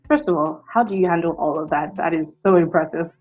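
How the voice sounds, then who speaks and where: A young woman hosting an interview, encouraging and quick with affirmations as she asks her questions. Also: low-pitched, a little mellow, muffled recording. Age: young adult.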